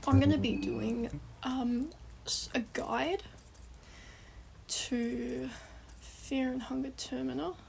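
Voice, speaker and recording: A woman's voice: high-pitched, fairly even intonation, takes it slowly, gravelly, pauses often, background noise. A woman with a cold and a stuffy nose, rambling casually through a game guide, swearing and restarting her sentences. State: congested from a cold. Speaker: gaming guide host.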